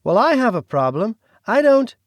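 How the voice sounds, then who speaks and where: A man's voice: a little high, very animated, normal speed, dry recording, voice pushed up. A man voicing a wary, defiant young woman who speaks sharply.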